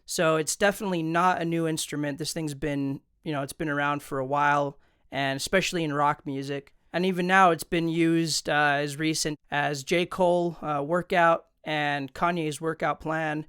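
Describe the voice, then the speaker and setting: Clear-voiced man giving a casual tutorial. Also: on the high side, steady tone, moderate pace, a bit bright, dry recording, studio quality. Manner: informal, conversational. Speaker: tutorial host. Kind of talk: casual instructional explainer. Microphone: close studio condenser microphone.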